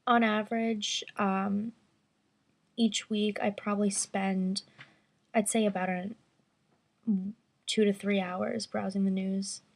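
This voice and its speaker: A woman around twenty answering interview questions thoughtfully, pausing with fillers. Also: medium pitch, flat delivery, gravelly. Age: 20s. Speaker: survey respondent.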